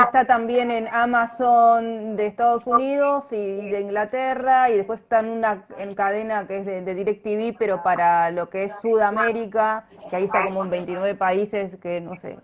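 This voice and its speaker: A woman's voice: a little high, fairly even intonation, normal speed, few pauses. A woman with an Argentine accent speaking casually as an interview guest on a live internet call. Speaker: interview guest, writer-director. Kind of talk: casual chat. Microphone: internet live video call.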